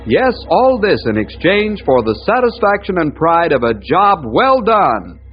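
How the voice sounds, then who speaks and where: Male old-time radio announcer, fairly high, very expressive, declamatory, muffled vintage sound. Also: unhurried, over loud music. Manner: emphatic, declamatory. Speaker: announcer and narrator.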